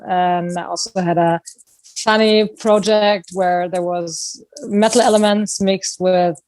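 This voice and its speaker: A woman's voice: fairly low pitch, steady tone, slow pace, darkish tone, dry recording. A woman, talking casually as a singer being interviewed. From Germany. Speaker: band singer, interview guest.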